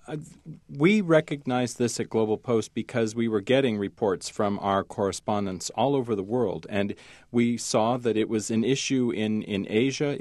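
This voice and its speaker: A man who is a journalist appears as a guest in a radio interview. He speaks haltingly, with many fillers and restarts. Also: on the low side, darkish tone, dry recording, studio quality.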